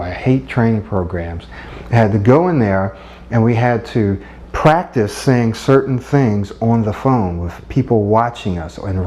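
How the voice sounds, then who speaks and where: Man, middle-aged, mellow voice, earnest coaching tone, encouraging. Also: mid-range voice. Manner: earnest and encouraging, frequent rhetorical "right?". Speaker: speech coach. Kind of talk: instructional talk.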